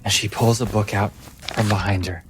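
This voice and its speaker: Low voiced guy game master, slow dramatic storytelling, lots of suspense, music clearly behind him. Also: fairly even intonation, poor audio. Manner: deliberate, suspenseful phrasing with dramatic pauses. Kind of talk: dramatic scripted-sounding narration of a tabletop fantasy game.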